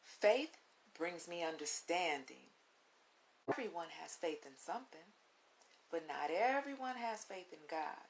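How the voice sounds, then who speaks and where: A woman reading a children's book aloud as a storytime host, with deliberate, instructive phrasing and emphatic pauses, on a recording with audible hiss. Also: fairly low pitch, expressive, creaky. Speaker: read-along storytime host. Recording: audible hiss and background noise.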